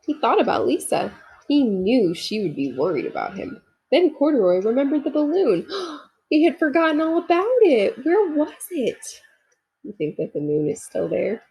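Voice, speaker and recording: Lady doing kids read-aloud, raspy creaky voice, super expressive, up n down. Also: a little high, rough recording. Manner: expressive, addresses young listeners. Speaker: storybook reader. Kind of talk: children's read-aloud narration with asides.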